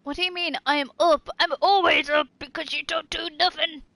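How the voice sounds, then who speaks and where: A woman's voice: high voice, steady tone, normal speed, vocal fry, bright, forceful tone, no room sound. A woman streaming a video game, giving casual, reactive commentary and playfully heckling the characters. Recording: game music under the voice. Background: video game music and sound.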